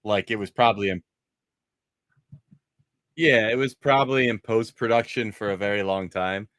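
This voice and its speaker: A man with a fairly low voice hosts a casual movie discussion, recorded dry and close. He rambles conversationally, often changing direction partway through a sentence. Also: fairly even intonation, normal speed, fairly bright tone, no room sound. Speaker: host of a movie discussion show. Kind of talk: casual panel chat about films.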